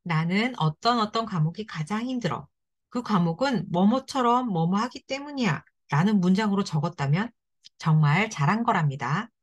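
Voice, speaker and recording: A woman narrating step-by-step craft instructions for children in a gentle, encouraging way. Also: medium pitch, lively intonation, moderate pace. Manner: gentle, encouraging, speaks directly to kids.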